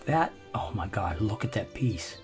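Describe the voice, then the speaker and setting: An older man with a naturally low voice, hosting a gameplay video and musing to himself in unhurried, relaxed commentary as he builds. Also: music in the background. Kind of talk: relaxed let's-play commentary.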